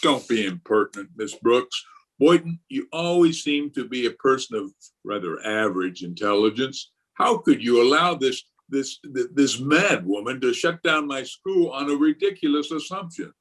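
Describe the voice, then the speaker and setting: Middle-aged blustering school principal for radio comedy male actor: pompous, exasperated, very animated intonation. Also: medium pitch, moderate pace. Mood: blustering, outraged. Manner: pompous, indignant, theatrical. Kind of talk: old-time radio comedy acting. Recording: remote video-call recording.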